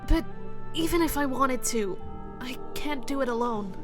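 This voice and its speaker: A woman's voice: sits high, normal speed, over music, lightly acted. A woman voicing a young male dragon hero who speaks earnestly and with doubt. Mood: doubtful. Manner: earnest, uncertain.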